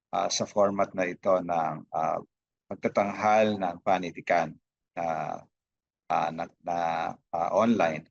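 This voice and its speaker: A Filipino man giving unscripted opening remarks as a host, halting often with hesitations and broken-off words. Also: low-pitched, no echo, muffled recording. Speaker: host, institute director.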